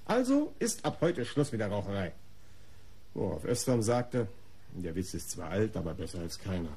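An actor playing a defensive, disdainful art patron under police questioning in a German radio crime play, articulate, formal and self-justifying. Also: male voice, fairly low pitch, sing-song, normal speed, mellow, slightly rough, full character voice. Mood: dry, disdainful.

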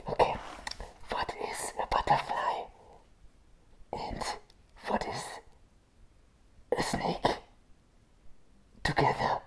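A young man playing a crazy, eager circus clown in a whispered ASMR roleplay, breathy and obsessively repeating words. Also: fairly bright tone. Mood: insistent.

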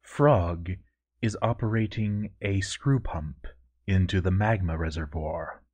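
Man playing a stern low-voiced dwarf overseer. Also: slow, a little mellow. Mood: self-assured. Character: proud, stern male dwarf fort overseer, grandly dutiful. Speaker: narrator reading a fort overseer's journal. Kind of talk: scripted storytelling narration.

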